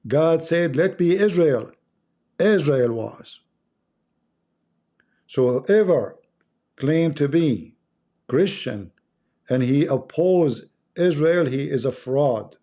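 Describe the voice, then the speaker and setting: A man's voice: a little high, mellow. Emphatic Arabic-accented man preaching. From Middle East. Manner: emphatic, preachy, repeats for effect. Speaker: livestream host. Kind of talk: impassioned religious monologue.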